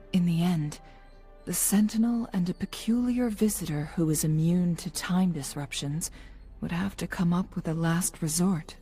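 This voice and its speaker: A woman voicing a composed, analytical young female guide in a video game, speaking in a measured, serious, explanatory way. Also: on the low side, warm tone, husky. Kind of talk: scripted video game dialogue.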